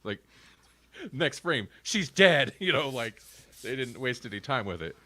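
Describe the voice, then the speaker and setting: A man's voice: mid-range voice, sing-song, measured pace, pushed and bright, no echo. A man co-hosting a podcast, talking casually in a rambling, self-interrupting way. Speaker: podcast co-host. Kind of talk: casual review chat.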